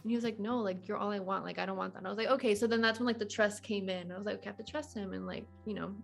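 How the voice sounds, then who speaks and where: A woman's voice: mid-range voice, steady tone, rapid-fire, creaky. Young woman chatting informally over faint music. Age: 20s. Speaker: podcast guest. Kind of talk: casual conversational interview.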